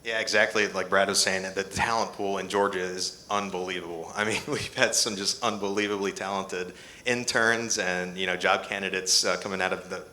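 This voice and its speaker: A man's voice: sits low, steady tone, normal speed, bright, forceful tone, a little hiss or hum. A man, founder of a small company, answering enthusiastically on a conference panel with laughs between phrases.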